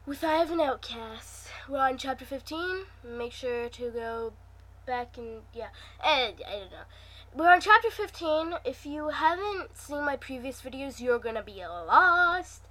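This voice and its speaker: A woman's voice: sits high, lively intonation, unhurried, sharp tone. A woman hosting her own channel, chatting informally and reading a fan story aloud in an amateur, casual way.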